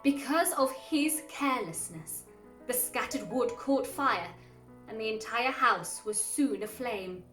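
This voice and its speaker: A woman with a high voice narrates in a measured storybook style. She sounds set back from the microphone in an echoing room. Her delivery is deliberate, that of a host reading a scripted tale. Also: a bit bright. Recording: roomy, distant mic with music underneath. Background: classical music.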